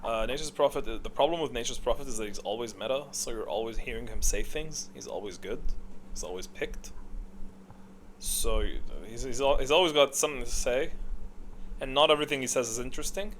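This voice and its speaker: A man's voice: medium pitch, a little fast, slight background noise. A man giving casual, unscripted, opinionated commentary as a video creator, thinking aloud and often answering his own questions. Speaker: video creator ranking game characters.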